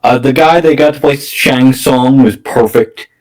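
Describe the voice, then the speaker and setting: A man in a casual film discussion as a podcast guest, speaking haltingly and often repeating phrases. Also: medium pitch, darkish tone, rough recording.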